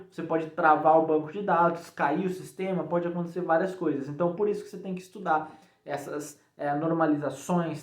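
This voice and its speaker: A man with a Brazilian accent giving a casual, informal explanation to viewers, often checking in with short tags. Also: on the high side. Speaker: programming tutorial YouTuber. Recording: echoey untreated room.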